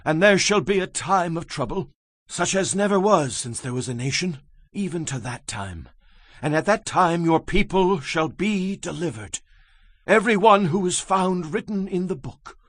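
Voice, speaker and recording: A man's voice: high voice, expressive, normal speed, fairly bright tone, no echo. A man performing a solemn prophet recounting apocalyptic visions in a theatrical, emphatic scripture reading. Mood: grave, awed. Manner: solemn, emphatic, theatrical delivery with dramatic swells and drops. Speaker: dramatized audiobook Bible reader.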